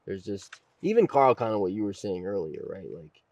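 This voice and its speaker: A man's voice: on the low side, big pitch swings, moderate pace, darkish tone, no echo, highs cut off. A man hosting a podcast in casual conversation, telling stories and acting out what others say. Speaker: podcast host.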